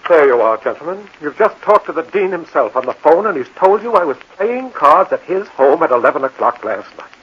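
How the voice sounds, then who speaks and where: A self-assured, indignant professor in a 1940s radio drama. His husky, fairly high voice moves animatedly through formal speech on a dull vintage recording. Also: very animated, fairly quick, hardly any pauses. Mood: assured, triumphant. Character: smooth-talking, indignant male university professor hiding guilt.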